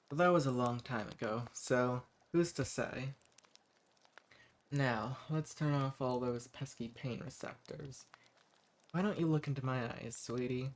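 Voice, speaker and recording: A man's voice: medium pitch, natural voice. A man playing a centuries-old vampire in an audio roleplay, speaking straight to the listener with condescending, taunting menace. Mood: musing, then coaxing, menacing. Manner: condescending pet names, taunting, unhurried menace. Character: centuries-old sadistic male vampire, coolly mocking and possessive. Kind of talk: scripted audio roleplay spoken directly to the listener.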